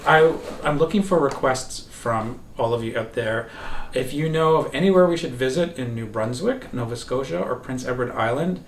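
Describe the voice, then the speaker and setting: A man's voice: mid-range voice, moderate pace. A man co-hosting a casual chat, upbeat as he announces a giveaway and signs off. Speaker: podcast co-host. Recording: echoey room, mic at a distance, faint noise.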